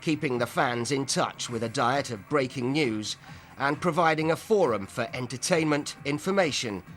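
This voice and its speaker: A man narrating a documentary in a measured, even scripted delivery. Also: mid-range voice.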